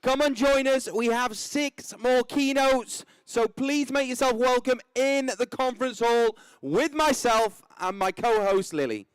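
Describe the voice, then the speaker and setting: A man co-hosting a conference, loud and upbeat as he calls the crowd into the hall. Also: very high-pitched, a little slow, hardly any pauses, clean tone. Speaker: co-host. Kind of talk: event hosting.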